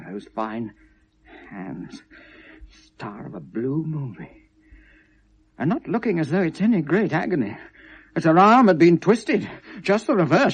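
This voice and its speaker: An actor playing a tormented, obsessive lovelorn British man confessing to police, halting and bitter, shifting from hushed to anguished in a 1970s radio drama. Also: mid-range voice, husky, lo-fi sound, exaggerated voice. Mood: haunted, hushed, then anguished, furious. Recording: noisy vintage radio play recording.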